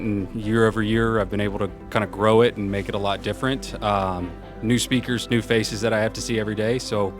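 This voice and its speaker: A man with a loud, carrying voice answering interview questions in an informal, upbeat, self-effacing way as a senior program manager. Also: medium pitch. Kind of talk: casual conversational interview answers. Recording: music playing behind the voice.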